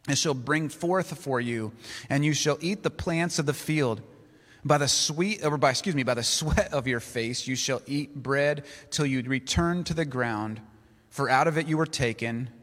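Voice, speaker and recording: A man preaching a sermon, reading scripture aloud in a slow, deliberate way. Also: medium pitch, a bit bright. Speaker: pastor. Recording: long reverb of a large hall.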